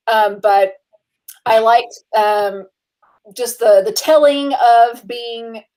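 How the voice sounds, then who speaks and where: Higher-voiced woman hosting a chatty podcast. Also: a little mellow, poor audio. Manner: chatty, laughs often, frequent "um" fillers. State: a little tired. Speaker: podcast host. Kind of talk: casual chat.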